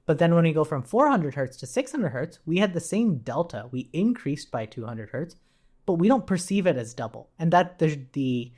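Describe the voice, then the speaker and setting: A man's voice: fairly high pitch, very animated, moderate pace, a little mellow. A man giving an informal technical tutorial as an instructor, explaining things in a conversational way.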